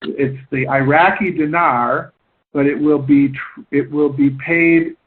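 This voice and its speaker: Over a thin, phone-like line, a slightly high-voiced man hosts a conference call. He explains points at length, haltingly, circling back to rephrase his phrases as he goes. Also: steady tone.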